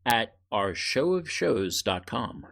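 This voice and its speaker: A man co-hosting a casual podcast, chatting in a halting, joking way. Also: lowish voice, sing-song, creaky, a bit bright. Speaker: podcast co-host. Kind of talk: casual conversational podcast chat.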